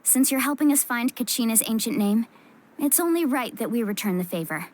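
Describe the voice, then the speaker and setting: A woman's voice: on the high side, animated, fairly quick, a little mellow. A woman playing an earnest, polite, eager young heroine in a video game. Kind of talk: English video game voice acting. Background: music.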